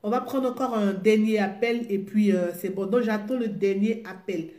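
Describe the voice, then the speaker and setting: A woman's voice: medium pitch, moderate pace, warm tone. A woman with a cold hosting a live call-in show, chatting casually, hesitating with many fillers and repeating herself. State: has a cold. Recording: echoey room with music playing.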